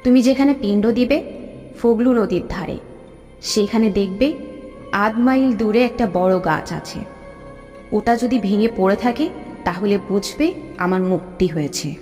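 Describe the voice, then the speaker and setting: Horror cartoon ghost: actress as murdered young widow, sorrowful, pleading yet commanding, long reverb over music. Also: female voice, mid-range voice, animated, a little slow, long pauses, dark tone, natural voice. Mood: solemn.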